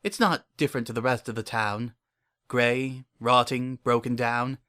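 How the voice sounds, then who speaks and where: Man acting obsessive lonely dreamer, middle pitch, earnest confessional delivery, unsettling intensity, dramatic story narration. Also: flat delivery, measured pace, pushed and bright. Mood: bleak. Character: lonely, obsessive, delusional man confiding his private devotion. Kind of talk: first-person dramatic story reading.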